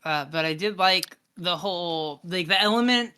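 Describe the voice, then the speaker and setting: Bright voice, casual podcast chat, easygoing, informal, conversational co-host. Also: medium pitch, moderate pace, clear, resonant tone. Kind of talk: casual chat about video games.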